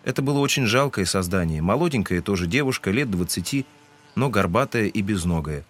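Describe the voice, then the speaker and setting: A man's voice: fairly low pitch, expressive, brisk. A man reading scripted literary narration as the narrator of a dramatized audiobook.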